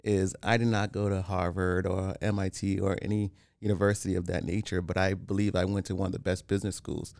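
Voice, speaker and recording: A man from Haiti, a real estate developer talking as a podcast guest in a calm, plain-spoken way, often restarting. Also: low-pitched, brisk, vocal fry, hardly any pauses, clear, resonant tone, no echo, studio quality. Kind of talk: casual interview.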